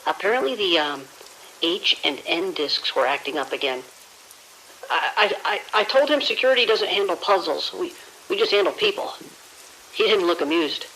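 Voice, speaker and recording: A man's voice: high-pitched, expressive, normal speed, sharp tone, full character voice. An actor playing a deferential, slightly awkward junior security guard reporting to his boss in an audio drama, hesitant and casual. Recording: hissy, crackly radio-like noise.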